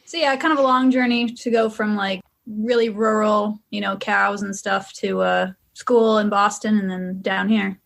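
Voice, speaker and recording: A woman's voice: mid-range voice, moderate pace, vocal fry, few pauses. Young woman guest, from western mass, easygoing and candid, lots of fillers. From Western Massachusetts. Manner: relaxed, chatty, filler-heavy, candid. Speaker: guest musician. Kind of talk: casual interview chat.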